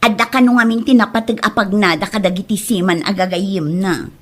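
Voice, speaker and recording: A woman playing a weary, hesitant young daughter in an Ilocano comedy drama, with an Ilocano accent and drawn-out words. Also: medium pitch, fairly even intonation, lightly acted.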